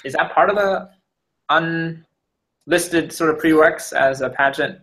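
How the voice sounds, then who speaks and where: A man hosting a live online interview, asking questions haltingly with many restarts and fillers. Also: a little high, a bit bright, low-quality recording. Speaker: interview host.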